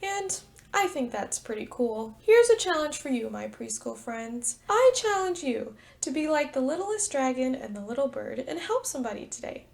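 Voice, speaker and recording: A woman preschool teacher doing an expressive storytime read-aloud, speaking directly to young children. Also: sits high, normal speed, creaky. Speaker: preschool teacher reading a picture book.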